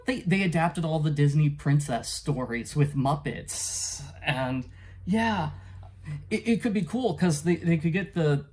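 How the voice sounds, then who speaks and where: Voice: medium pitch, a little mellow, slightly rough. A casual book reviewer talking off the cuff in a rambling, hesitant way, often trailing off or chuckling.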